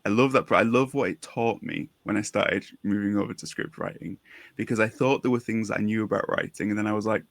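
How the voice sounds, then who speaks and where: A man with a low, gravelly voice co-hosts a conversation about his craft. The sound is dry and close, and he talks in long, engaged explanations that turn into questions. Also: fast, dry recording. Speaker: podcast co-host, writer. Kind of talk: conversational interviewing.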